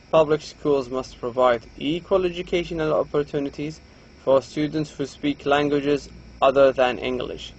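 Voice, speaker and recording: A man's voice: on the high side, slight background noise. Saudi man lecturing, slightly dull recording. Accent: Saudi Arabic. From Saudi Arabia. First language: Arabic. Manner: reads a textbook aloud and explains with frequent restarts. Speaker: university English lecturer.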